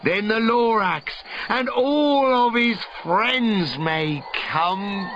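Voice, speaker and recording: A man's voice: high voice, animated, drawn-out words, a bit bright, dull audio, slight background noise, pitched above the performer's own voice, full character voice. A man voicing an old, regretful and greedy industrialist in a theatrical, emphatic storybook reading. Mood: urgent hope. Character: regretful, greedy old male industrialist telling his tale.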